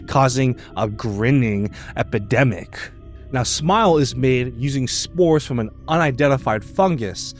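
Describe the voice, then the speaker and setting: A man giving casual, opinionated video commentary in an informal, slangy way that is loose with swearing. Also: mid-range voice. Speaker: video essayist. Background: background music.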